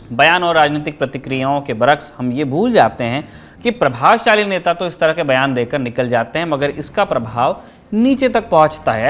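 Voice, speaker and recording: A man's voice: fairly high pitch, fairly bright tone. A man hosting a news show, delivering scripted commentary in a formal, emphatic, rhetorical manner.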